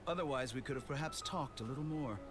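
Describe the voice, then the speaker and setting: A man's voice: mid-range voice, fast talker, lightly acted. An actor playing an arrogant, smug male scholar villain in a video game, with a silky, condescending manner. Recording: game audio with loud music behind.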